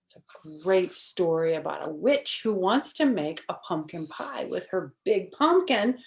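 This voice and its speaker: A woman storyteller reading a picture book aloud for children in an expressive, deliberate, teacherly way. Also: lowish voice, creaky, rough recording. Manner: expressive, deliberate, simple phrasing. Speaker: storyteller, first-grade teacher.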